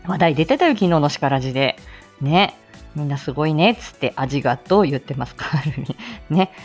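Lively woman chatting on a livestream. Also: mid-range voice. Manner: giggly, chatty and playful. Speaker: host of a live audio stream; speech-language therapist. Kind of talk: casual live-stream chat with listeners.

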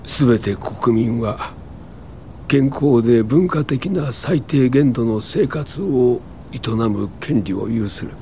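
An actor playing a sickly, earnest tuberculosis patient in a radio drama, plain-spoken, halting and short of breath. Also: male voice, mid-range voice, dark tone, slight background noise. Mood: solemn, determined. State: ill, coughing, breathless.

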